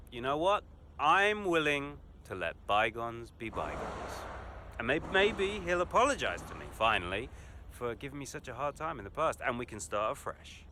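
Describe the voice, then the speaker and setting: Cocky, animated male racing driver. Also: mid-range voice, a little hiss or hum. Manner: smug, breezy, off-the-cuff boasting. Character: cocky, self-satisfied male Formula One racing driver. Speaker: racing driver being interviewed. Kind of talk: acted video game cutscene interview.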